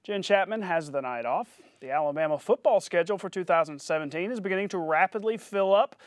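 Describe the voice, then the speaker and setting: A male sports anchor with a notably high voice. He reads scores and narrates highlights in a punchy, announcer-like way, as on a local television sportscast. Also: fast talker, dry recording, clean studio sound.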